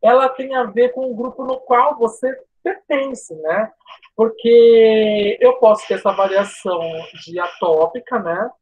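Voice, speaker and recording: A woman's voice: on the high side, a little slow, darkish tone, lo-fi sound. Chatty woman teaching from São Paulo's coast. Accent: Brazilian Portuguese, coastal São Paulo. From São Paulo state coast, Brazil. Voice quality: sibilant S. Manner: informal, chatty, frequent "né?" tags and restarts. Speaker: Portuguese language teacher. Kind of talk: live online class.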